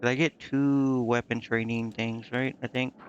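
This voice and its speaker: A man's voice: medium pitch, level tone, moderate pace, dull audio, slight background noise. A man streaming a video game, thinking aloud in a casual, hesitant way as he mutters to himself.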